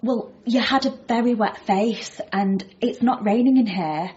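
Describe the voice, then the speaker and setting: A blunt, kindly waitress in a radio comedy drama. Her voice is fairly high and husky, with deadpan delivery, slightly muffled in the recording. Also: measured pace. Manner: deadpan, matter-of-fact.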